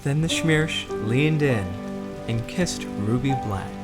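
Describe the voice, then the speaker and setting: A man narrating a radio drama like a storybook, with measured, theatrical delivery. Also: medium pitch, music in the background.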